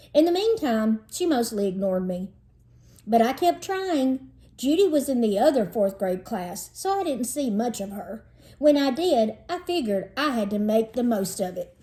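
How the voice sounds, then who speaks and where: A woman narrating a children's storybook in an expressive, dramatizing read-aloud style. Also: on the high side, moderate pace.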